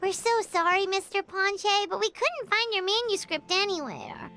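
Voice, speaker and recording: A tiny childlike fairy from a video game. The voice is extremely high, bright and squeaky, bouncing politely and chirpily through each phrase. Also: female voice, very animated, moderate pace, faint music behind, heavily acted. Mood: apologetic.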